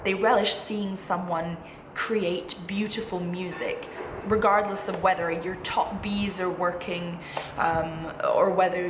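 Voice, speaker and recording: A woman in her twenties, a student vlogger, giving chatty, encouraging, emphatic advice straight to viewers in a casual style. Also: fairly low pitch. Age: 20s. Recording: echoey room with clear background noise.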